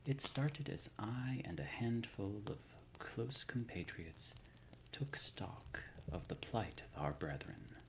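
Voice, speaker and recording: A man voicing an ancient, solemn undead founder of a secret order in an ASMR roleplay, speaking deliberately in formal, old-fashioned words with a breathy, gravelly near-whisper. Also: fairly low pitch, sing-song, fairly bright tone, full character voice, rough recording. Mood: solemn, reminiscing.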